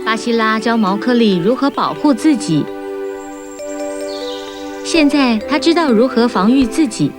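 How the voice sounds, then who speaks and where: A woman's voice: a little high, lively intonation, measured pace, long pauses. A woman reading scripted narration as the storyteller of a children's tale. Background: music.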